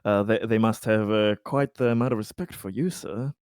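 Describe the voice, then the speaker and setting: A man's voice: lowish voice, moderate pace, darkish tone, dry recording, clean studio sound. A man improvising in character at a tabletop game, courteous and stammering over his words. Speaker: tabletop roleplaying player. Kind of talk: casual improvised in-character conversation.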